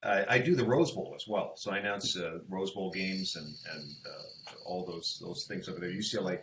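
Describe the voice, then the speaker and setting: A man's voice: deep voice, moderate pace. A man who works as a stadium announcer, chatting casually as a guest at a roundtable. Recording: echoey room, dull sound, background noise.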